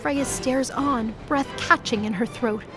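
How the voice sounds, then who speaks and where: Female narrator: fairly high register, dramatic scripted storytelling, music underneath. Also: measured pace.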